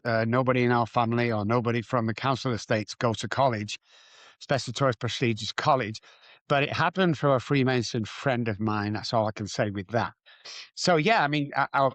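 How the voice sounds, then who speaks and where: A man from England with a Manchester accent, speaking as an addiction recovery coach in a podcast interview, energetic with quick restarts. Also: mid-range voice, no echo. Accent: Manchester English.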